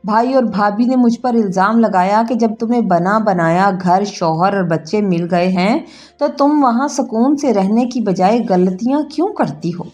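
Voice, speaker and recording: A woman's voice: mid-range voice, mellow. A woman reading a scripted, emotional first-person story as its narrator. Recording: music bed behind narration. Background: background music.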